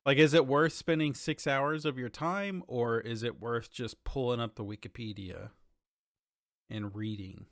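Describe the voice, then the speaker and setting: A man's voice: medium pitch, a little slow, a bit bright, dry recording. Casual male podcast co-host, dry close sound. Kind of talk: casual gaming podcast chat.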